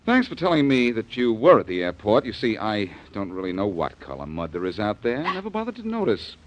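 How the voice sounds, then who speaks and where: A man's voice: on the low side, huge pitch swings, fairly quick. A man playing a cocky, wisecracking private detective in a 1940s radio drama, sardonic and needling. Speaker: detective lead. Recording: dull old radio recording.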